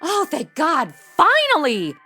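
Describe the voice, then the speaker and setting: A woman's voice: high-pitched, huge pitch swings, takes it slowly, bright, forceful tone, soft background music, exaggerated voice. A woman playing a flippant, impatient young female sleuth, sarcastic and slangy, in a comedy audio drama. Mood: fake earnest.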